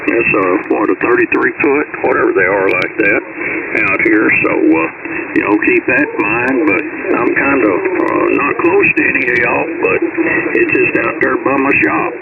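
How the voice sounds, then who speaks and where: A man with a gravelly Southern US accent chatting casually in a folksy, rambling way over shortwave ham radio. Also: mid-range voice, expressive, normal speed. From Kentucky, United States. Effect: shortwave SSB radio. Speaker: amateur radio operator. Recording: very noisy narrowband shortwave radio, distant.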